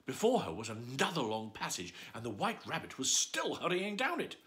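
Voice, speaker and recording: A man's voice: mid-range voice, huge pitch swings, moderate pace, a bit bright. A man reading a bedtime story aloud, playful and adding asides to the listener.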